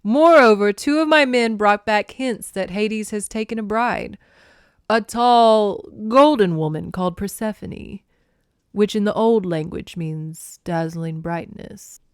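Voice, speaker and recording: A woman's voice: mid-range voice, a little slow, clear, resonant tone, no room sound, studio quality, close to an everyday voice. Woman putting on a blunt, scornful male sea god. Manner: contemptuous, matter-of-fact, forceful.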